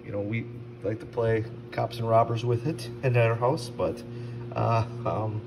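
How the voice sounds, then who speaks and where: A man giving a casual, unscripted product review in an informal, halting conversational way. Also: mid-range voice, level tone. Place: indoors at a stone countertop. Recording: distant mic, clearly noisy background.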